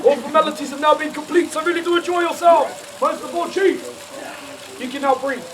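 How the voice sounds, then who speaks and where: A New man declaims a formal welcome to visitors at a cultural village. His voice sits very high, and it reaches the mic from afar. Steady noise runs underneath. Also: a little fast. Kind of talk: ceremonial welcome address to tourists. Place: live cultural show venue. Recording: distant voice with loud background noise.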